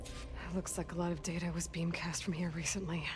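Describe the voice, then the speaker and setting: An actress playing a young woman explorer in a video game, focused and curious, with a hushed, matter-of-fact delivery. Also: fairly low pitch, little pitch movement, a little fast, noisy background, close to an everyday voice. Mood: pensive, focused.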